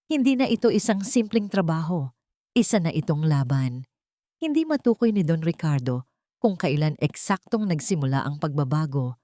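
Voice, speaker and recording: Female narrator, Filipino accent, lowish pitch, dry close recording, scripted dramatic storytelling. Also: warm tone, dry recording.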